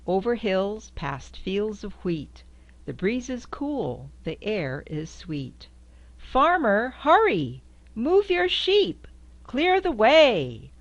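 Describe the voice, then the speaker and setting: An older woman reads a children's rhyming picture book slowly, her pitch bouncing widely from line to line. Her voice squeaks up high on the sound-effect words, and the home video sound is dull and lo-fi. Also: big pitch swings. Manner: sing-song, squeaks high on the horn sounds. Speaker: storybook reader. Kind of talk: reading a picture book aloud. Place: indoors before a quilt backdrop.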